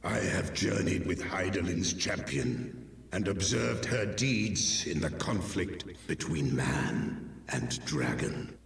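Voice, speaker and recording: An actor voicing an ancient, solemn dragon in a video game, speaking in stately archaic phrases through a cavernous reverb. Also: male voice, sing-song, slow, full character voice. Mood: solemn challenge. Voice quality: deep, gravelly. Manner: archaic, stately, portentous speech. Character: ancient, solemn male dragon, huge and grave. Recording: echoing long reverb, distant, faint music.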